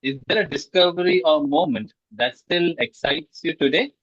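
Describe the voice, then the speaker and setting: A man's voice: fairly high pitch, normal speed, fairly bright tone, no room sound. A man hosting an interview, formally and politely reading a scripted introduction and questions. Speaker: interviewer, host. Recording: dull, lo-fi, dry sound.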